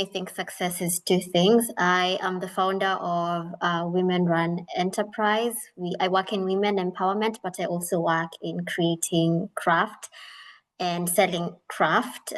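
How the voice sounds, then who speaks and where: Measured woman, low voice. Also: poor audio. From Kenya. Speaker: founder of a women-run craft enterprise. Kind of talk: panel discussion.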